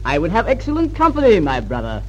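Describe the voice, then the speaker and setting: Snide prince in old radio drama, highish voice, swoops around, mocking and theatrical. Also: male voice, wildly swooping pitch, quick pace, darkish tone, highs cut off, soft background music, heavily acted. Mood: bitterly ironic. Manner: sardonic, taunting, theatrical. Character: sly, mocking prince, younger brother of the king. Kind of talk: old-time radio historical drama acting. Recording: vintage 1940s-50s radio recording.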